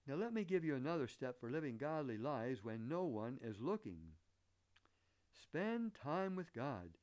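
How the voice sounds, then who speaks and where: A man from the northern United States with an acquired Southern American accent, preaching a sermon in an earnest, deliberate manner. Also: mid-range voice, sing-song, a little mellow. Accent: American, picked up Southern. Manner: earnest, deliberate, rhetorical questions. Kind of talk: sermon with illustrative anecdotes.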